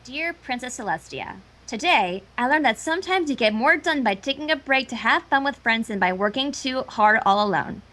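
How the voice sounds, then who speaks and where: A woman plays a studious young female cartoon pony in a fan story. She reads her letter in a high voice, earnest and polite, with formal phrasing. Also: lively intonation, moderate pace, hardly any pauses, fairly bright tone.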